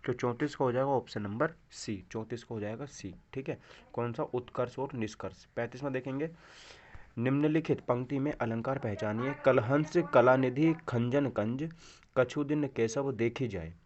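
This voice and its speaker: A man's voice: medium pitch, moderate pace, darkish tone, slight background noise. A man teaching in an instructional style, going through quiz questions and repeating answers with short check questions. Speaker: exam coaching teacher. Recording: dull, lo-fi microphone sound.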